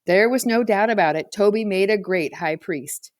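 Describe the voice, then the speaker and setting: A woman's voice: mid-range voice, moderate pace, no room sound, studio quality. A woman reading a children's novel aloud as a scripted narrator. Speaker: audiobook reader.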